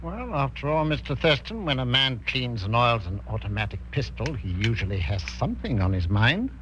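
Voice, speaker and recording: An actor playing an eager, genial retired colonel, older, hesitant and politely old-fashioned, in a 1940s radio drama. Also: male voice, medium pitch, very animated, normal speed, a bit bright. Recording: dull, noisy old radio recording.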